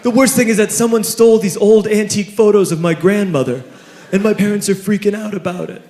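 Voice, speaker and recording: Male comic plays dismayed teen boy, high and emphatic, stand-up bit in a roomy venue with crowd. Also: a little fast, slight background noise, close to an everyday voice. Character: aggrieved teenage boy reporting party damage. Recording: roomy live stage recording with loud audience.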